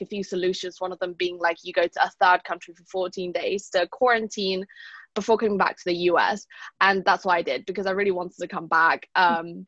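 A woman's voice: on the low side, fairly bright tone, dry recording. Reflective young woman student, dull dry audio. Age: young adult. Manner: thoughtful, reflective, lots of "like". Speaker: interview guest, university student. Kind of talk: casual interview.

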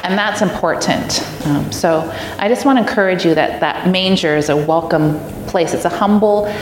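A woman's voice: fairly low pitch, normal speed. A middle-aged woman, a guest preacher, speaking in an informal, earnest, conversational way with personal anecdotes. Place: large echoey church room, live audience. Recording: echoey hall, clear background noise.

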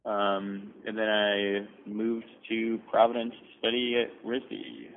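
A man's voice: lowish voice, slow pace. A young man from Toronto, a guest designer, answering loosely with lots of "sort of" and "like" hedges. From Toronto, Canada. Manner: loose and hedging, with many "sort of, like". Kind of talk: casual interview answers. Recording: tinny phone line with faint hiss.

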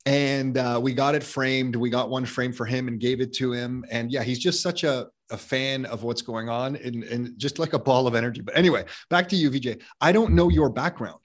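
Male podcast host, eager, talky, mid-pitched, casual interviewer. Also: fairly even intonation, normal speed, hardly any pauses. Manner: enthusiastic, rambling with restarts.

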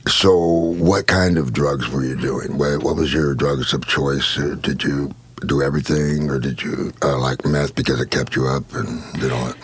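Male host, 50s, booming deep husky voice, blunt and frank. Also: fairly even intonation, moderate pace. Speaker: podcast host. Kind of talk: casual interview chat.

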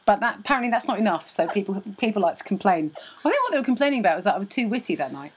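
A tired woman co-hosting a casual podcast, with a lively, swooping voice that jumps around as she talks. She rambles and restarts, laughing at herself, recorded on a laptop with no proper microphone. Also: mid-range voice, rapid-fire, a little hiss or hum. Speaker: podcast co-host and comedian. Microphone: recorded without a microphone, on a laptop.

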